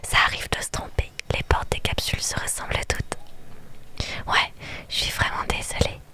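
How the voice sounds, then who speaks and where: A woman whispering in a breathy ASMR style as she plays a story game, with playful, teasing asides to her viewers. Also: normal speed, pushed and bright. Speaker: streamer reading and reacting to a dating story game. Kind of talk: whispered ASMR game playthrough with live commentary.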